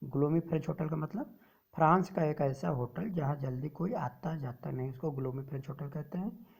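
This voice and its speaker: Low, mellow-voiced woman teacher explaining. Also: creaky, slightly rough. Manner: reads English lines slowly, then explains in Hindi. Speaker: English teacher. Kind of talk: explaining a textbook story to students.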